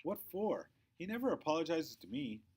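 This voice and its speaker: A man voicing a sullen, defiant young boy who tells his own hurts in a children's novel read aloud, expressive and aggrieved. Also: fairly high pitch, moderate pace, slightly rough, pitched above the performer's own voice.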